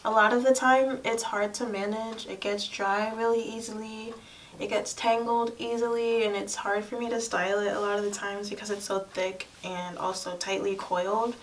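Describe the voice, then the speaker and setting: A young woman talks in a soft, girlish voice, as in a personal vlog. Her delivery is candid and casual. She sounds a little away from the microphone, with faint noise in the recording. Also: mid-range voice, level tone, moderate pace. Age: young adult. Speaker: lifestyle vlogger. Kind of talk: personal casual chat to camera. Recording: distant mic, faint room noise.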